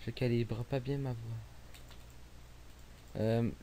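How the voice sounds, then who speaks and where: Young quebec guy talking over his game, lots of euhs, voice dips quiet sometimes, bit of hiss. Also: medium pitch, flat delivery, takes it slowly. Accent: Québécois French. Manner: rambling, many fillers and restarts, sometimes drops to near mumble. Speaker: gameplay commentator. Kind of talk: casual gameplay commentary. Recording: faint hiss.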